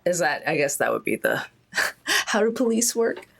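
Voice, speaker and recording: Female tabletop player, low pitch, casual game chat, informal hesitant phrasing. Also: sing-song, normal speed, vocal fry, fairly bright tone, breathy edge. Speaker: tabletop role-playing player.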